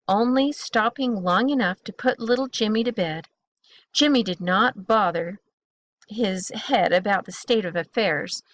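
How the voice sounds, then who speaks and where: A woman reading a children's bedtime story aloud in an expressive, deliberate way, drawing out key words. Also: a little high, no room sound.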